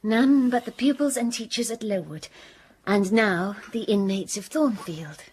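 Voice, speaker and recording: A young actress playing a quiet, restrained governess in a radio drama, narrating in a hushed voice. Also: female voice, mid-range voice, unhurried, breathy edge, no echo. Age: young adult. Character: plain, reserved young governess.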